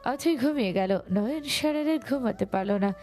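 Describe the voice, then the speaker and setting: A woman's voice: on the high side, lively intonation, moderate pace, a little mellow, faint music behind. A woman reading scripted narration for a romantic audio story.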